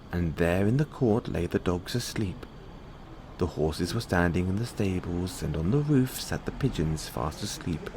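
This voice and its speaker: A man with a low-pitched voice reads a bedtime fairy tale. His delivery is measured storybook narration, like a story told for someone falling asleep. Clear background noise runs behind the recording. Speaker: storyteller playing a boyfriend in audio roleplay.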